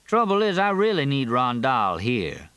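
A man's voice: medium pitch, wildly swooping pitch, measured pace, a bit bright, clean tone, no room sound, pitched above the performer's own voice. A man voicing a small-town sheriff, wry and plain-spoken, in a novel reading. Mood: practical. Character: dry-humored, folksy small-town Southern sheriff, long married.

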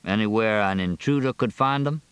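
A man's voice: on the low side, moderate pace, fairly bright tone, clean tone, no echo, natural voice. Man playing a dry, folksy sheriff. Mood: businesslike. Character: dry-humored, folksy small-town Southern sheriff, long married.

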